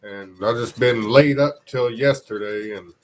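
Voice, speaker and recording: A man's voice: mid-range voice, a little slow. Stuffed-up guy doing his daily live stream, rambly and laid back, mid voice. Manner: rambling, loose and informal. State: congested from a cold. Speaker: live stream news host. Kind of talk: casual live stream chat, reading comments.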